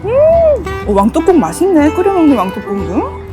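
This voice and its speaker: A woman's voice: very high-pitched, wildly swooping pitch, normal speed. A middle-aged woman from Busan with a Busan dialect accent, chatting casually as a travel vlogger outdoors, exclaiming often. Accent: Busan Gyeongsang dialect. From Busan, South Korea. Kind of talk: casual vlog chat. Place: outdoors by a river and in a convenience store. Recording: background music throughout.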